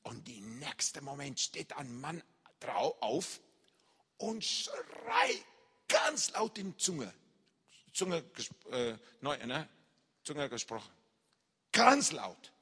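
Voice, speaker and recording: A man preaching in a big reverberant hall, telling a personal story in slow, deliberate German with slips of a non-native speaker. His voice is bright and a bit husky, his pitch sits slightly high, and his intonation swings widely as he acts out the scenes. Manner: animated, acts out shouts and reactions. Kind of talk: sermon testimony, storytelling.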